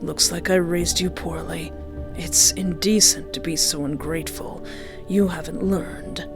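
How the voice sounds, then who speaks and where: A woman voicing a menacing, obsessed, disfigured ex-boyfriend with a hushed, breathy delivery, slow and scolding. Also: lowish voice, fairly even intonation, music in the background. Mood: cold, accusing.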